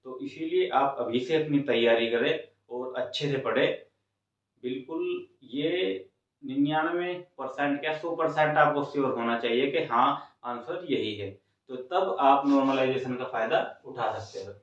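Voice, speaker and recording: A man's voice: mid-range voice, unhurried. Echoey, distant man explaining to students. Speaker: exam coaching host. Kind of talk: informal explainer of exam news for students. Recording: very echoey room, distant mic, dull sound.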